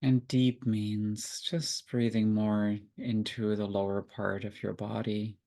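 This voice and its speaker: Gravelly, low-voiced man guiding meditation. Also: little pitch movement. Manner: gentle unhurried instructions with long pauses. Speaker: meditation guide. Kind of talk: guided meditation.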